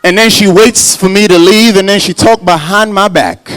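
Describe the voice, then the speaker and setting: A man's voice: high voice, moderate pace, a bit bright, clean tone. A man performing a stand-up comedy set at a gala, playful and slipping in Haitian Creole phrases. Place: hotel banquet hall at a gala.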